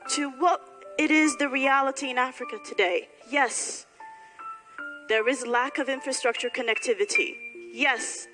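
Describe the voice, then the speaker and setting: A woman from Somalia giving a public speech to graduates, speaking emphatically and building points through rhetorical repetition. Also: high voice. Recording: hall reverb, faint music.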